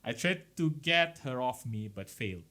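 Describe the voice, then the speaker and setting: A man's voice: medium pitch, animated, normal speed, pushed and bright. A Malaysian man co-hosting a podcast, casually reading out stories and chatting, mixing English with Malay. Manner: mixes English with Malay, explaining as they go. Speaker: podcast co-host. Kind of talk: casual chat, reading out listener horror stories.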